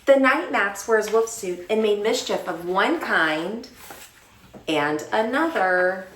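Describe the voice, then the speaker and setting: A woman reading a children's picture book aloud as a storytime teacher, with expressive, dramatic delivery and drawn-out words. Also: medium pitch. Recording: roomy echo, distant mic, faint noise.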